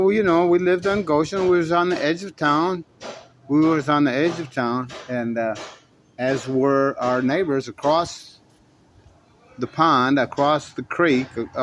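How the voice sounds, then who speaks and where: A man tells a long first-person story in an unhurried, folksy drawl, doubling back and correcting himself as he goes. His pitch sits in an ordinary middle range, with a faint hum of ambience behind him. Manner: folksy, meandering, frequent restarts and self-corrections. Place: in his shop.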